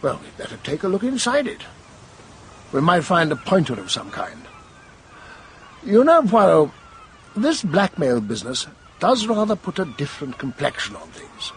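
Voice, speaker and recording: An actor playing a brisk, businesslike police colonel in a radio mystery, with a gravelly voice and an official, questioning manner. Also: male voice, a little high, wildly swooping pitch, slight background noise, low-quality recording. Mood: brisk, inquiring. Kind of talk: radio mystery drama acting.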